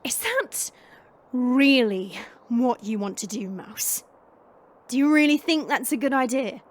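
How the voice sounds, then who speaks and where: Woman as cocky, very high-voiced immortal fighter. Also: very animated, measured pace, heavily acted. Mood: calm warning. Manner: casual, taunting, slangy. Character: cocky, teasing immortal female fighter, playful yet reluctant to hurt. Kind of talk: dramatic audio roleplay acting.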